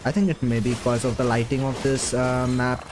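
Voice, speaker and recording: A man's voice: mid-range voice, level tone, brisk. Chatty man streaming a game. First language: not English. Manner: rambling and chatty, with many fillers and soft laughs. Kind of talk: casual chat while live-streaming a game. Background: game music.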